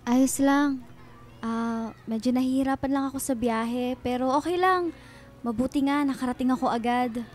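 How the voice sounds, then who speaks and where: Family friend for radio drama female actor: high-pitched, kind, friendly and eager to help. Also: normal speed, darkish tone, slight background noise, lightly acted. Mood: friendly, obliging.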